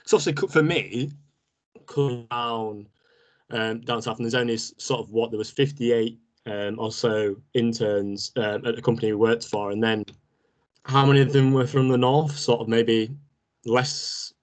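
A man's voice: medium pitch, fairly even intonation, moderate pace. Young Manchester man chatting casually. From northern England. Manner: chatty and joking, laughs often. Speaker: podcast co-host. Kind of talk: casual chat between friends.

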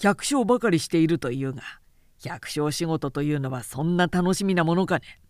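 Audiobook role: proud male warlord played by a woman, hearty, teasing, lowered voice, dry sound. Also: animated, moderate pace, a little mellow, no echo. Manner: lordly, hearty, conversational.

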